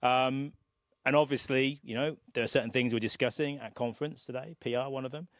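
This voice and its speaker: A middle-aged man, a guest politician, making his points in a measured, emphatic way. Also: medium pitch, fairly even intonation, no echo, studio quality. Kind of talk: conversational political discussion.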